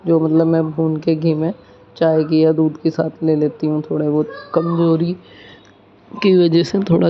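A woman, chatting casually as a home vlogger. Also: deep voice. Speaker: village vlogger. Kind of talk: casual home vlog chat and narration. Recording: muffled phone audio with clear background noise.

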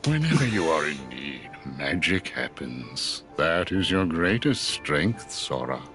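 An actor playing a stern old wizard master in a video game, gravelly, grave and formal. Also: male voice, rumbling low, very animated, takes it slowly, a bit bright, soft background music, heavily acted. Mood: wise, grave. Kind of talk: video game cutscene acting.

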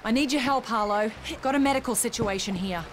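A woman's voice: fairly high pitch, a little fast, lightly acted. Woman playing a tough, impatient survivor. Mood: urgent, businesslike. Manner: blunt, direct, exasperated. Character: tough, foul-mouthed, impatient female survivor. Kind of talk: video game cutscene acting.

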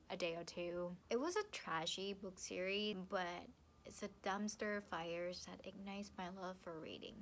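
A young woman from Thailand with a Thai accent, chatting playfully to the camera from her sofa about books, with lively hand gestures. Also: on the low side, a little hiss or hum. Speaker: book vlogger. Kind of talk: casual vlog to camera. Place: living room, seated on a sofa. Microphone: camera in front.

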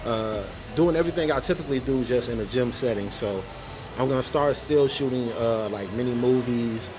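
A man's voice: medium pitch, moderate pace, over music. A man hosting a vlog, chatting casually in a laid-back, joking, slangy way. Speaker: vlog host. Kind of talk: casual chat.

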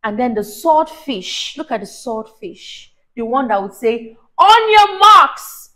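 High-pitched, unhurried woman reading to children. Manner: expressive, encouraging, teacherly, prompting the listener with questions. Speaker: children's story reader and teacher. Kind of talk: animated read-aloud for a young child with explanations and questions. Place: small indoor room on a sofa. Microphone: lapel mic.

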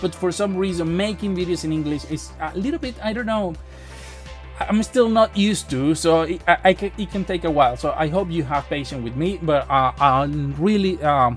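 A man in his thirties with a Spanish accent, a tech YouTuber talking casually to camera, animated with frequent restarts. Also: on the high side, faint music behind. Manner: animated, gestures a lot, halting restarts. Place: small home studio room. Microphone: boom mic near the face.

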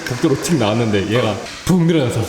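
A man's voice: mid-range voice, lively intonation, fast talker, roomy sound, noisy background. A young man, a university student, chatting casually with friends and telling stories in a teasing way. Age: young adult. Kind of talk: casual chat among friends.

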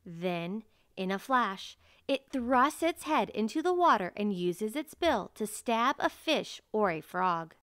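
A woman reading a children's nature picture book aloud as narrator, in a measured, carefully enunciated way. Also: medium pitch, big pitch swings, fairly bright tone, clean tone, no echo, studio quality.

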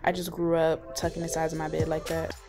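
A young woman vlogger talking casually over her video, relaxed and chatty with lots of fillers. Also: low-pitched, fairly quick. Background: clear background music.

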